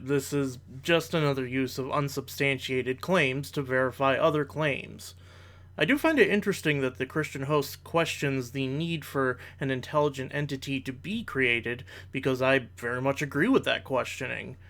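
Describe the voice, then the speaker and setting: A man's voice: medium pitch, moderate pace, fairly bright tone. Male commentator, mid-range voice, formal analytical delivery, making point-by-point rebuttals. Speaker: video creator giving rebuttals. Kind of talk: reaction commentary, argumentative.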